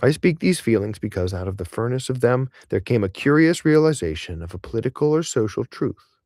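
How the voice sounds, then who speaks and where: A man reading a literary essay aloud in audiobook style, in a measured, expressive way with deliberate emphasis. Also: mid-range voice, dry recording, clean studio sound.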